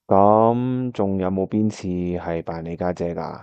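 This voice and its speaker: A man's voice: deep voice, measured pace, clear, resonant tone, no echo, dull audio. A man with a Hong Kong Cantonese accent acting an earnest, lovelorn young pianist who narrates his own story in a radio drama. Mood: surprised, curious. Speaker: voice actor, lead and narrator.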